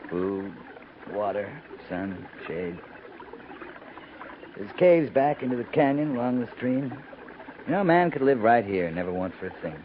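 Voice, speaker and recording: A man's voice: lowish voice, sing-song. An American man acting a laconic, dryly teasing, weary cavalry captain in a 1950s radio drama, speaking tersely and without hurry. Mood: relaxed, teasing. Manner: terse, dry, unhurried. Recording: muffled, noisy 1950s radio recording.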